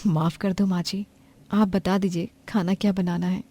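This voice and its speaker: A woman's voice: on the low side, level tone, moderate pace, no echo. Woman voicing a meek young daughter-in-law. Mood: apologetic. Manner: soft, polite, submissive.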